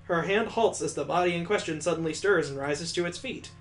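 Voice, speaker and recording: A man's voice: fairly high pitch, fairly quick, slight background noise. A man hosting a gaming video, narrating a visual novel with improvised asides as he reads aloud.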